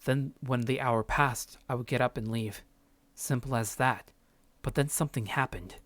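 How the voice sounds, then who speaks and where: A man's voice: mid-range voice, steady tone, moderate pace, fairly bright tone, no echo. A man reading visual novel narration aloud as a let's play streamer, casual and sometimes stumbling over the text. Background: game music.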